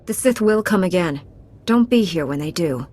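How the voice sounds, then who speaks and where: An actress playing a stern, calm female Jedi knight who speaks in a composed, commanding way. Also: on the low side, moderate pace. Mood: firm. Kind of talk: video game cutscene.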